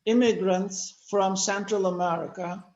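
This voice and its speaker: An older man from Turkey, speaking natively, giving a measured lecture-style talk with many pauses. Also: high-pitched, fairly even intonation, roomy sound, dull audio. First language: Turkish. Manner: measured, explanatory, with frequent "uh" pauses. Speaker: panel speaker. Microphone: video call.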